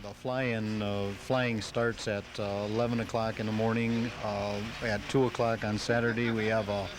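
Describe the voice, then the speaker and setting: Low-voiced hesitant man, very noisy tape. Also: level tone. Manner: hesitant, measured, halting. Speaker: interviewed member of a model plane flying club. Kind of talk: live radio interview, unscripted explanation. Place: outdoors at a flying field. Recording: noisy old home tape of radio broadcast.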